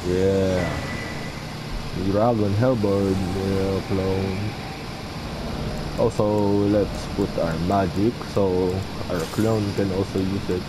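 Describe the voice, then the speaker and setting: A young man giving casual gameplay commentary, halting as he muses aloud, close to the mic. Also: low-pitched. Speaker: gaming video host. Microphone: mic placed right in front. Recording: steady background hum and noise.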